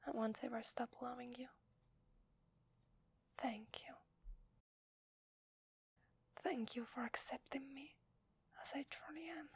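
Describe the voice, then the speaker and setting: A sweet, apologetic werewolf wife, played by a woman with an Italian accent, in a whispered ASMR roleplay. Her voice is fairly high and extremely breathy, with a crackle of fry. She speaks hesitantly and tenderly to her lover. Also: dry recording, heavily acted. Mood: tender, grateful. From Italy. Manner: hesitant, tender, slow with long pauses.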